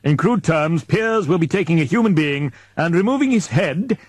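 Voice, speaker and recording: A man acting a booming, pompous male leader. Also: expressive, normal speed, no echo. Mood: breezy, condescending. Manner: pompous, wordy, formal. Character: booming, fatherly, smoothly authoritative male expedition leader. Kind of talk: audiobook character dialogue.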